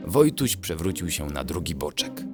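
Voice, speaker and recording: A man with a low voice narrates a children's bedtime tale over music. His delivery is scripted and moves widely in pitch, as a storyteller's does. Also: big pitch swings, normal speed. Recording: music under the voice.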